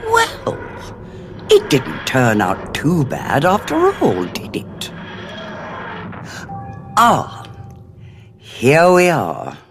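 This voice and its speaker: An actress with a British accent playing a scheming, coolly amused war commander in an audio drama, arch and condescending. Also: female voice, deep voice, huge pitch swings, drawn-out words, vocal fry, pauses often, fairly bright tone, faint music behind, full character voice. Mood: smugly pleased. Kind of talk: full-cast audio drama acting.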